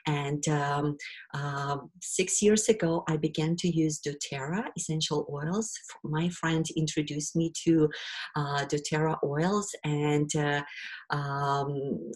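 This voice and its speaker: Middle-aged Ukrainian-accented woman, webinar presenter, low voice, unhurried, hesitant with many fillers. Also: steady tone.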